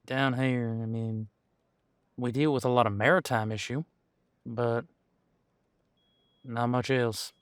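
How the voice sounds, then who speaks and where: A man playing an easygoing veteran police officer in an audio roleplay, chatting casually and candidly with thoughtful pauses. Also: mid-range voice, steady tone, clean tone, no echo, natural voice. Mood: wry, apologetic. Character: easygoing veteran male supernatural police officer showing newcomer around. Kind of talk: audio roleplay acting, one-sided conversation.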